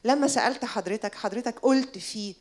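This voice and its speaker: A woman's voice: a little high, fairly quick, a little mellow. A woman in her forties with an Egyptian accent asks a long, insistent, rhetorical question from the audience of a lecture hall. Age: 40s. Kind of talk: impassioned public question.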